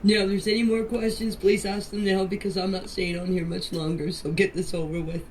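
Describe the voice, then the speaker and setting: An older woman speaks with a slightly low voice in an insistent, plain-spoken way, as if pleading her case in a group chat. The amateur recording places her away from the mic, with some faint background noise. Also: level tone, brisk, a little mellow. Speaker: grandmother answering questions in a group voice chat. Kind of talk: emotional personal statement in voice messages. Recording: distant, noisy amateur voice-message recording.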